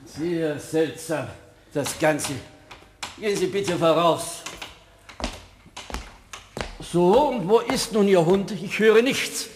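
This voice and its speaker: A man's voice: high-pitched, slow, pauses often, slight background noise. An actor playing a suspicious, indignant male householder in a German radio play, speaking in a formal, stern and officious way. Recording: reverberant studio radio play.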